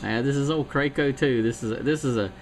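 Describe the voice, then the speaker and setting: A man's voice: medium pitch, fairly quick. A man from South Carolina checking in on a CB radio net, rambling in a casual, chatty way and laughing at himself. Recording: noisy CB radio.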